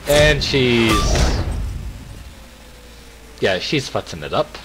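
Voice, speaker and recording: A man's voice: medium pitch, animated, drawn-out words, music in the background. A man in his twenties giving casual commentary as he plays a video game. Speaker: let's player. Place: indoor room at a desk. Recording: loud game audio behind voice.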